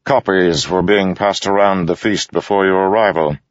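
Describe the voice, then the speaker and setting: A man's voice: low-pitched, dry recording, voice pushed up, natural voice. A man voicing a grave, formal noble general. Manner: measured, solemn, formal. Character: grave male noble general, composed and stiff. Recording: dull dry studio recording.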